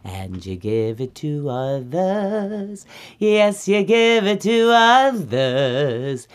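A woman's voice: on the high side, sing-song, takes it slowly, pitched above the performer's own voice, full character voice. A woman voicing an earnest little girl bear cub in a children's story, teaching in an emphatic sing-song way. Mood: preachy, sing-song.

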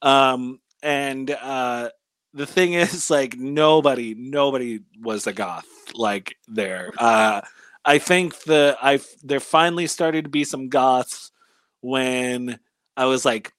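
A man in his thirties with a Californian accent and a mid-pitched voice, recorded very dry. He reminisces casually as an interview guest, in a halting, slangy way with some swearing. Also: a bit bright, dry recording. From California, United States. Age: 30s. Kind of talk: casual chat, personal recollection.

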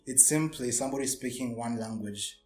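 Quiet Kenyan man posing questions. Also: medium pitch, brisk, echoey room, faint music behind. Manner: quiet, poses big questions. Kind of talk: casual podcast chat.

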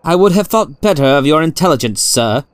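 A man voicing a sharp, thoughtful detective in a storybook, with a formal, incisive way of speaking. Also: fairly high pitch, lively intonation, a little fast, smooth, no room sound, close to an everyday voice. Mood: sharp, challenging.

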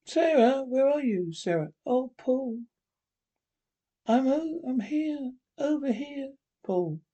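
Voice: high-pitched, expressive, slow pace, warm tone, voice pushed up, heavily acted. A reader putting on frightened young woman Sarah. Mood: uneasy.

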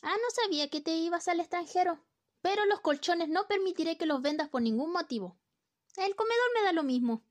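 A woman's voice: very high-pitched, lively intonation, a little fast. A woman in a student radio play acting a distracted, teasing housewife, with an exaggerated, sing-song delivery. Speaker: radio drama actor.

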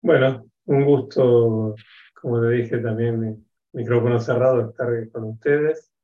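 A man in his sixties from Argentina with an Argentine accent, speaking as an interview guest on a video call from his home office, in a measured, reflective way with many hesitations. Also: medium pitch, fairly even intonation, phone-quality sound. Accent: Argentine Spanish. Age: 60s. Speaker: guest, education expert. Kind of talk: interview conversation.

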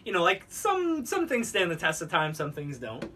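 Male podcast co-host, bright tone, loose rambling conversational delivery, slightly distant mic. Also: sits high, wildly swooping pitch, normal speed, faint music behind. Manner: rambling, filler-heavy with frequent "like". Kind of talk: casual unscripted chat.

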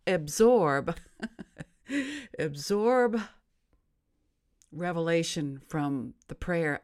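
A woman hosts her own live stream, teaching at a slow, deliberate pace. Her voice is smooth and clear and sits fairly low for a woman. She comes across as gentle and inviting. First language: English. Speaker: host of a live-streamed devotional show.

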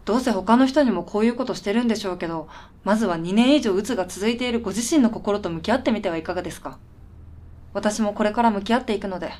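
A woman's voice: mid-range voice, fast talker, darkish tone, natural voice. An actress playing a polite, puzzled and tired woman with a dry, blunt streak in a radio drama. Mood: irritated, blunt. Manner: polite, dry, matter-of-fact. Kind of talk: Japanese radio drama dialogue.

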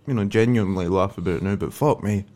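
A man's voice: fairly low pitch, fast, warm tone. A young man with a Glaswegian accent, a comedian telling blunt, sweary, wry stories in a casual podcast chat. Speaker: comedian guest.

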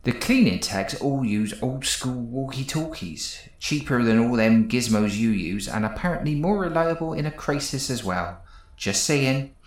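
An actor playing a dim, unflappable British spaceship cleaner, laid-back and matey, in a farcical audio comedy. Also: male voice, mid-range voice, expressive, moderate pace, roomy sound, natural voice.

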